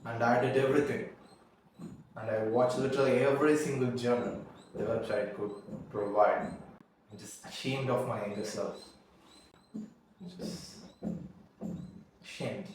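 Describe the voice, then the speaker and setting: A young man whose first language is Tamil, telling a halting, earnest confessional story and often restarting his words. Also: medium pitch, steady tone, a little mellow, a little hiss or hum. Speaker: vlogger. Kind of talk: confessional monologue. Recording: echoey room, mic at a distance.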